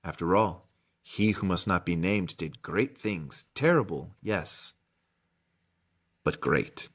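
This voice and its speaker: A man voicing an eccentric old wandmaker from a storybook, ominous and mysterious, with slow, deliberate, savoring delivery. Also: low-pitched, expressive, fairly bright tone, voice pushed down, full character voice. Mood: ominous, hushed.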